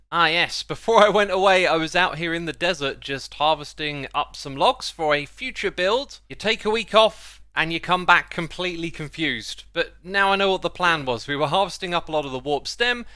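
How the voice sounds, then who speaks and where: A man hosts a gaming video in a casual, conversational style. His voice sits a little high for a man and rings bright and crisp. The close microphone sound is very dry. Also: lively intonation, moderate pace, hardly any pauses, no room sound. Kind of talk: casual gameplay commentary and chat.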